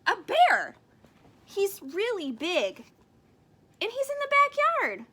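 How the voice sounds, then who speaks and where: A woman voicing an excited little boy in a children's picture book, speaking with emphasis. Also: very high voice, takes it slowly, pitched above the performer's own voice, full character voice. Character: excited, shy little boy reporting a bear.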